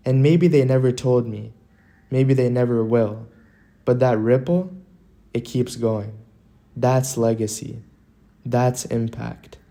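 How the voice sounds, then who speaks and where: A man reads a scripted motivational monologue at an unhurried, slow pace. His voice is soft-edged and mellow, and his delivery is earnest and deliberate, with weighty pauses between short phrases. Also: medium pitch.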